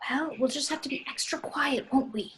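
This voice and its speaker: A woman's voice: sits high, moderate pace, pushed and bright. A woman playing a worried, no-nonsense girlfriend in an amateur table read, terse and questioning.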